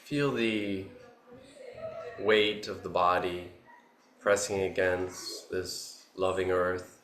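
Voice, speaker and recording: A man leads a guided meditation in a low, even voice. He gives gentle instructions in short phrases, leaving long pauses between them. The recording has a roomy echo around his voice. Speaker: meditation teacher. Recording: roomy echo, faint background noise.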